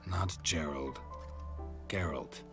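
An actor playing a stoic, dry-witted monster hunter in a video game, speaking in a laconic, deadpan way. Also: male voice, a bass voice, slow, creaky, exaggerated voice. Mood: wry, probing. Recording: game music under the voice.

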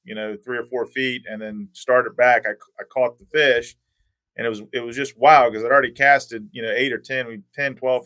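A man in his forties talks casually as a podcast guest on a video call. His voice is mid-pitched with very little room echo. He is measured and repeats words to stress them. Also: sharp tone. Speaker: podcast guest, tournament angler. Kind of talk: casual storytelling chat.

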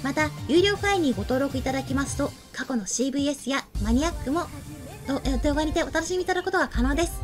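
A woman co-host speaks politely and quickly in a high voice. She presents into a desk mic in a small studio. Music plays clearly behind her. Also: animated. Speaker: show co-host. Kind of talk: scripted show sign-off and chat with co-host. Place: small indoor studio set. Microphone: desk microphone.

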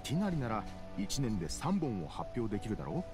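A man's voice: on the low side, big pitch swings, brisk. A man voicing a middle-aged scholar in a video game, nervous yet self-important, with a fussy academic manner. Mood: advising. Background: game music.

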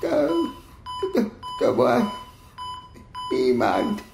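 A terrified, injured patient stammers in a radio drama. The voice is very halting and slow, swinging wildly in pitch, in a noisy, echoey room. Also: huge pitch swings, vocal fry, exaggerated voice. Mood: panicked. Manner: stammering, halting, repeating words. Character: injured, terrified male hospital patient in shock. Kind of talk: radio crime drama acting.

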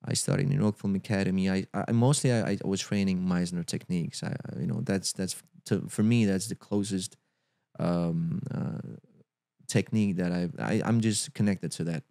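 Belgian guy, dutch accent, lowish voice, dry studio sound, lots of you know. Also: fairly even intonation, vocal fry, warm tone, no room sound. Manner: halting, many restarts and "you know"s. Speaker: actor guest. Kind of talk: casual interview chat. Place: podcast studio, indoors. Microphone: studio mic with headphones.

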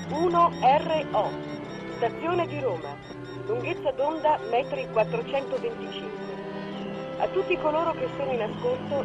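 A woman making a formal announcement in the style of 1920s radio. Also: sits high. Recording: dull lo-fi archive radio, music behind.

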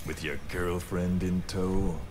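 An actor playing a sadistic military interrogator in a video game, calm, condescending and quietly threatening. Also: male voice, deep voice. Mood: cold menace. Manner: slow, deliberate, mocking, quietly threatening. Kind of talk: dramatic video game voice acting. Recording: noisy simulated cassette field recording.

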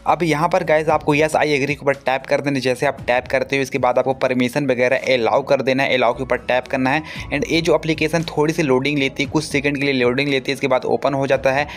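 A young man, narrating an app tutorial as a gaming channel host, instructing viewers directly. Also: mid-range voice. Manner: repeatedly addresses viewers, instructional. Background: music behind the voice.

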